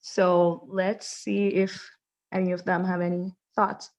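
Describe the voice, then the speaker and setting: A woman's voice: lowish voice, flat delivery, takes it slowly, a little mellow. A woman informally hosting an online showcase, introducing readers and asking for feedback. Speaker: host of the showcase.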